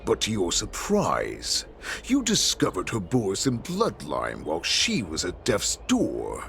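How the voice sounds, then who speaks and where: A cruel wolf-man warlord who savors every word, his voice low and gravelly. He drags out his taunts slowly, swinging wildly between growls and high sneers, over a faint game score. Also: wildly swooping pitch, a bit bright, slight background noise, heavily acted. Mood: taunting. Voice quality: deep gravelly voice. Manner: slow, taunting, with savage laughter. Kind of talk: video game cutscene acting.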